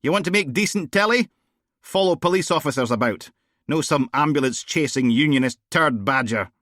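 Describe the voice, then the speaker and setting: A man's voice: a little high, expressive, fairly quick, fairly bright tone, dry recording, studio quality, voice pushed up. A man putting on a foul-mouthed police chief woman. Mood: ranting. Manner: crude, mocking, bossy.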